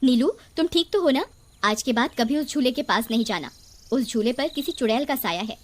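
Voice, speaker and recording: A woman voicing a bossy, admonishing elder sister-in-law in an animated village horror story. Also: sits high, moderate pace, a little hiss or hum. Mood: concerned warning. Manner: sharp-tongued, admonishing.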